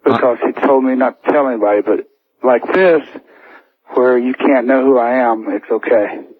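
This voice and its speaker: A man's voice: medium pitch, normal speed, dry recording. A man with a mid-range voice phones in to a radio show to share something personal. He speaks hesitantly and doubles back on his words. The sound is a dry, narrow telephone line. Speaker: anonymous radio caller. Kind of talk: personal account told on a call-in show.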